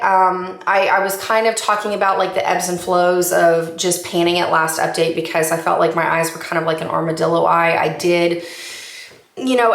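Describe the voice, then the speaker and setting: A woman vlogger chatting about her makeup progress in an upbeat, enthusiastic, rambling way, her voice a little raspy from allergies. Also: lowish voice. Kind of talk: casual chatty update. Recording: echoey room.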